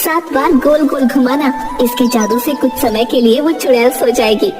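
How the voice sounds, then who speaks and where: A woman's voice: high-pitched, normal speed, in a large hall, close to an everyday voice. A woman voicing a forest fairy in a children's cartoon, theatrical and emphatic, with music behind. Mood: approving, kindly. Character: female forest fairy, stern tester turned kindly guide. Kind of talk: children's cartoon story dub. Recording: music under the voice.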